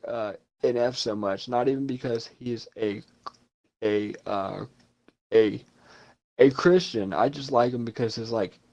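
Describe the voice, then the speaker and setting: A man's voice: medium pitch, darkish tone. A young man from Ohio chatting casually on a podcast, rambling and swearing freely. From Ohio, USA. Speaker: podcast co-host. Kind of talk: casual chat.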